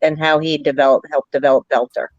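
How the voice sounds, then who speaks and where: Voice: mid-range voice, steady tone, quick pace. A playful speaker in a casual discussion. Their voice comes through dull and lo-fi, recorded dry with no room echo.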